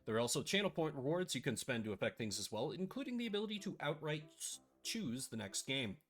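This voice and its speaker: A man chats on his live stream in a lively, bouncing voice that is a little rough and scratchy today. He talks in a playful, sarcastic way. Faint music plays behind him. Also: on the high side, fast. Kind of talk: casual live-stream chat.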